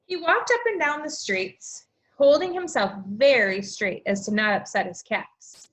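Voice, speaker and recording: A woman teacher reading aloud and explaining things to young children, with expressive, deliberate emphasis. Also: sits high, poor audio. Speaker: elementary school teacher.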